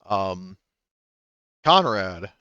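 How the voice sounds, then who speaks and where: A man's voice: sits low, big pitch swings, extremely slow, bright, forceful tone, clean tone, no echo, highs cut off. A man running a tabletop game as game master, talking casually and explaining rules, with some swearing.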